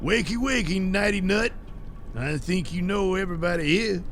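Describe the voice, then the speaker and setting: A man acting an excitable, slangy character in a cartoonish comic superhero audio serial. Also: high-pitched, normal speed, slight background noise. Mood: theatrical, excited.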